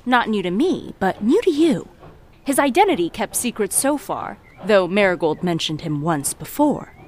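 An actress playing a worldly, teasing treasure merchant who narrates an audio drama, sly, confiding and theatrical. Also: female voice, medium pitch.

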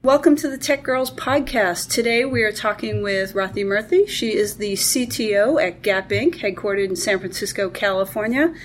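A woman hosting an interview podcast who reads prepared questions in a clear, even way. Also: medium pitch, moderate pace, few pauses, soft background music. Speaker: podcast host.

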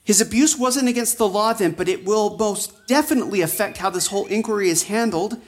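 An actress playing a worried, protective old friend in an audio drama, speaking earnestly and insistently. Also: female voice, medium pitch, fairly quick, lightly acted. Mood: insistent, exasperated. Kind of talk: fiction podcast drama acting.

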